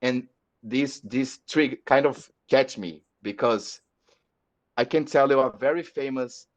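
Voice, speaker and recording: A man from Brazil speaking English with a Brazilian accent, a metal musician chatting on a podcast, halting and repeating words as he searches for them. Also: medium pitch. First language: Portuguese. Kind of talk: casual podcast chat. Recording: dull lo-fi audio.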